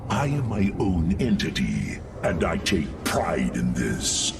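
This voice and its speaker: An actor playing a proud, earnest robotic alien warrior in a video game, speaking in a solemn, declamatory way. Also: male voice, low-pitched, wildly swooping pitch, takes it slowly, creaky, recorded from a distance, background noise, exaggerated voice. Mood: proud, resolute. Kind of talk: dramatic video game acting.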